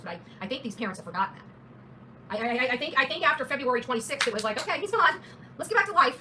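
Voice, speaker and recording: A woman hosts a casual true-crime livestream with a fairly high, quick voice. She is blunt and opinionated, leaning emphatically on her points. There is faint noise behind her. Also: pushed and bright, poor audio.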